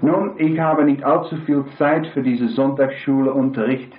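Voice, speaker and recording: Hoarse guy preaching, rambles, asks the crowd stuff, tinny echoey old church tape. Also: medium pitch, fairly even intonation, measured pace. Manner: rambling, asks the congregation questions. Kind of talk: sermon to a congregation. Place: church hall with congregation. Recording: echoey, tinny lo-fi hall recording.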